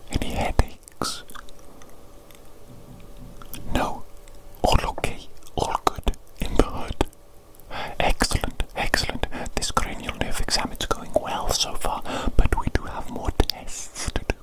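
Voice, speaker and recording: A woman voicing a doting evil doctor in an ASMR medical roleplay, in a breathy, unvoiced whisper, soothingly repeating short phrases. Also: measured pace, lightly acted, rough recording. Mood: soft, attentive. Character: doting evil doctor examining the creature they created. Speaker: ASMR performer.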